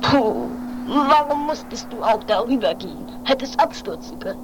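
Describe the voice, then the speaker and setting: An amateur radio-play performer voicing an anxious, self-reproaching mountaineer who mutters haltingly and repeats words. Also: mid-range voice, animated, music in the background, close to an everyday voice. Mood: strained, urgent. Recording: noisy homemade 1980s radio play recording.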